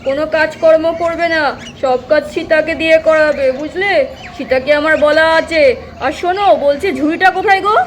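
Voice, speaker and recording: A woman's voice: sits high, brisk, a little hiss or hum, natural voice. A woman voicing a caring village husband in a doll puppet cartoon; he fusses, repeats himself and watches over his pregnant wife. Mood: fussing. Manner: fussy, protective, repeats himself. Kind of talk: doll puppet show voice acting.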